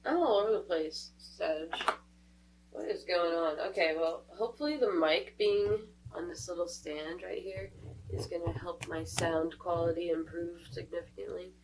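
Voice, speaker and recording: A woman's voice: on the low side, moderate pace. A woman doing a casual tarot reading, stuffed up from a cold, chatty and thinking aloud as she goes. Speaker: tarot reader. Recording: voice a bit distant from the mic, faint hum.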